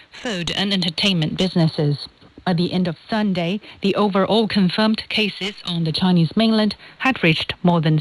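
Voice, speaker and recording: A woman news reader delivering a scripted bulletin in a formal, measured manner, heard over shortwave radio. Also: mid-range voice, no echo, poor audio.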